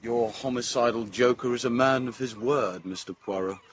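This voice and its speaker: An actor playing a brisk, condescending modern police inspector with a clipped, self-satisfied British voice, in a radio drama. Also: male voice, mid-range voice, a little hiss or hum, lightly acted. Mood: smug.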